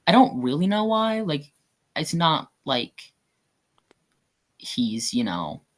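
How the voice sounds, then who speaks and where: A man's voice: on the high side, sing-song, slow. A young man in casual podcast conversation, talking in a chatty, rambling way full of fillers. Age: young adult. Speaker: guest actor.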